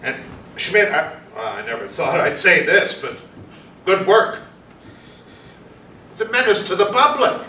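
Bullying older police chief, acted by a man, animated and sharp, impatient; stage reading in echoey room. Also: high-pitched, a little slow, pauses often, exaggerated voice. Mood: alarmed. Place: small hall, staged reading before an audience. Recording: echoey room from far off, faint noise.

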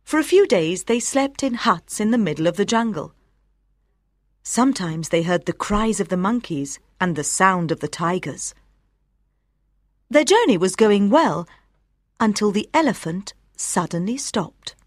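A woman's voice: mid-range voice, animated, measured pace, no echo, studio quality. A woman reading scripted story narration for language learners as an audiobook narrator.

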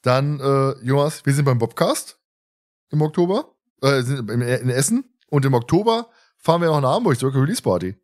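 A man's voice: medium pitch, expressive, normal speed, darkish tone. A man hosting a podcast, chatting casually in a playful, teasing, jokey way. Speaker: podcast host.

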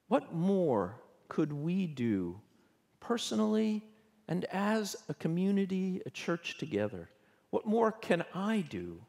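An older man, a bishop, preaching a sermon from a pulpit in a church sanctuary, measured and questioning in tone. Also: high voice. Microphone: pulpit microphone. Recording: long church reverb.

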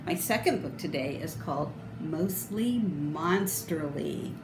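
A middle-aged woman reads a picture book aloud for children in a theatrical, sing-song way. Her delivery is slow and deliberate, with big swings in pitch. The sound is echoey and indoors, with clear noise behind her. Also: animated, music in the background. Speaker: storybook reader. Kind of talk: expressive children's read-aloud. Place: indoor room. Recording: roomy echo, clear background noise.

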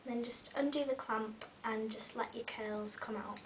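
A young woman in her twenties, recovering from a cold and a bit croaky, chatting casually through a hair tutorial in her bedroom. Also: fairly high pitch, steady tone, moderate pace, far from the mic, background noise. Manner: chatty, talks while styling hair, many ums. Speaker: beauty vlogger. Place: small bedroom with fairy lights. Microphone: webcam a little way off.

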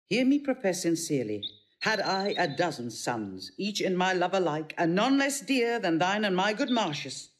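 A woman's voice: fairly low pitch, sing-song, moderate pace. An actress playing a fierce, proud older Roman mother in a Shakespearean audio drama, imperious and declamatory. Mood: proud, impassioned. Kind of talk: classical Shakespearean stage acting.

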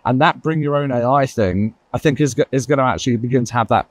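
A man speaking as a guest on a roundtable panel, talking quickly and emphatically with lots of asides. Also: mid-range voice, no echo. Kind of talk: panel discussion.

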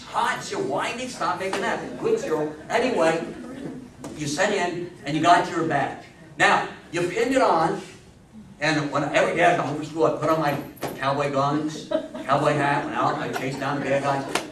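A man in his sixties giving an animated talk to an audience, telling stories emphatically, repeating phrases and asking questions. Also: sits high. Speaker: lecturer on old-time radio history. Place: meeting room with seated older audience. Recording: roomy, distant camera mic with background noise.

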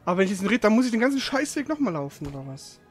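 High-pitched, fast-talking, grumbling male gamer. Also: very animated, faint music behind. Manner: frustrated, grumbling, sweary. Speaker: video game streamer. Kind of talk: casual gameplay commentary.

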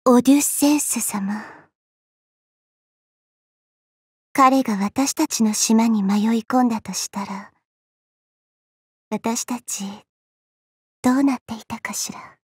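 Game goddess, small and youthful: high, breathy, unhurried, coy, elegant, haughty tease. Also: female voice, no room sound, clean studio sound. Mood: wistful. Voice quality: soft, breathy. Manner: elegant, coy, slow, refined ladylike speech. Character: petite, teasing, haughty young-looking immortal goddess. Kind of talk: Japanese mobile game character voice lines.

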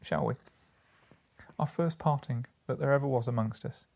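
A man voicing a gentle, grieving father who talks earnestly and tenderly to his children in a storybook reading. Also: medium pitch, moderate pace, gravelly, pitched above the performer's own voice. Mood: tender, bittersweet.

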